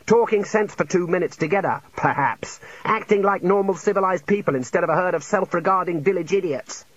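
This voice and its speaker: A man's voice: on the high side, animated, brisk, husky, no echo, muffled recording, voice pushed up. A man voicing an anxious, exasperated young student wizard in an audiobook, with an earnest, strained, insistent manner. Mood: tense, snappish.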